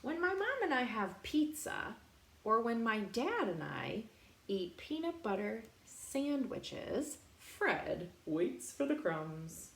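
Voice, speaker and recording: A woman reading a picture book aloud in a playful, expressive way, pausing to put questions directly to young children. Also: fairly high pitch, gravelly. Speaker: storytime reader for young children. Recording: roomy echo, faint background noise.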